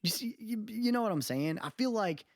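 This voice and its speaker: Male podcast host, lively swooping intonation, dry sound, opinionated, slangy, conversational. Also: sits high, normal speed, no echo. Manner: opinionated, slangy, repeatedly seeks agreement with tag questions. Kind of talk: casual podcast chat.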